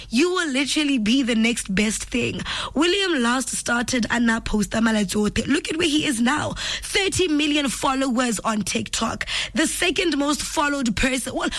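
A woman radio host, hoarse and raspy from flu, chatting in a bubbly, motivational way. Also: on the high side, fairly bright tone. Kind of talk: casual radio chat.

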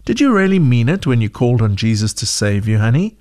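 A man reading a gentle caring mother. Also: medium pitch, very animated, brisk, close to an everyday voice. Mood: tender.

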